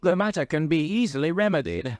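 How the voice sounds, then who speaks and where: A man's voice: on the high side, sing-song, brisk, no room sound, pitched above the performer's own voice. A man voicing an agitated, browbeaten schoolmaster in a story reading, flustered and deferential. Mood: flustered, yielding. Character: agitated, browbeaten older schoolmaster doctor, indecisive.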